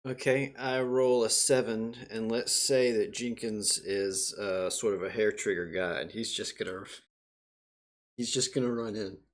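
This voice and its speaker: Male player, fairly deep voice, informal and slightly hesitant tabletop game talk. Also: fairly even intonation, unhurried.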